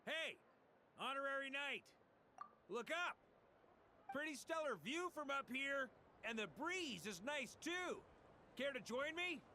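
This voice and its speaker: A man's voice: very high voice, animated, takes it slowly, pauses often, bright, forceful tone, a little hiss or hum, full character voice. A man voicing an easygoing, playful young bard in an anime-style game, talking in a casual way. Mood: cheerful, calling out.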